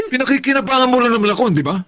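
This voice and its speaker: A Filipino actor playing a smug, vain young philanderer with a breezy, self-justifying manner in a radio drama. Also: male voice, very high-pitched, expressive, rapid-fire, a crowd in the background. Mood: defensive, taunting.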